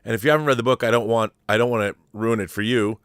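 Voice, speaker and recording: A man talks as the host of a fan show, theatrical and playful in his delivery. His voice sits at a middling pitch, and the sound is dry, with little room around it. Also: fast, a bit bright, clear, resonant tone, no room sound. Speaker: host of a pop culture show. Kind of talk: showy scripted-sounding intro and casual fan discussion.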